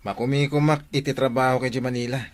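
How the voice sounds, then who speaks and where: A man's voice: mid-range voice, flat delivery, fast, natural voice. A man acting an outspoken, sociable friend in an audio drama, with an Ilocano accent and a teasing, emphatic delivery. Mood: casual.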